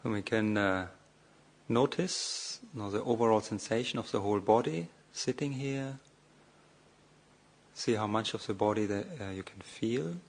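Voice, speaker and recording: A man giving a guided meditation as a monk, speaking off the cuff in short, measured phrases with long, hesitant pauses. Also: on the low side.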